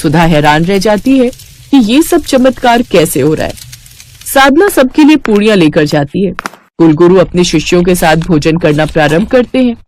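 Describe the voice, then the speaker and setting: A woman's voice: fairly low pitch, animated, moderate pace, darkish tone. A woman narrating a scripted moral bedtime story.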